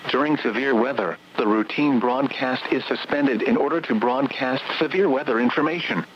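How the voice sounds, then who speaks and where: A man's voice: mid-range voice, a little hiss or hum. Synthetic male weather radio announcer, dull sound. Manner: measured synthetic delivery, evenly read. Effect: radio broadcast. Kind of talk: automated scripted weather broadcast.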